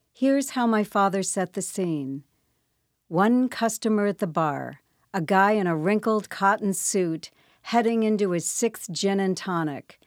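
Woman host, scripted narration, mid-range pitch, measured storytelling delivery, dry recording. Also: dry recording. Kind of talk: scripted narration introducing a radio play.

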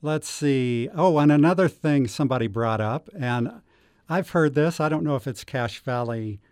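A man's voice: mid-range voice, normal speed, a little mellow, clean tone, no echo, clean studio sound. A man, a newspaper editor, a guest on a radio show, thinking aloud with many ums. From Utah. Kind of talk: radio interview.

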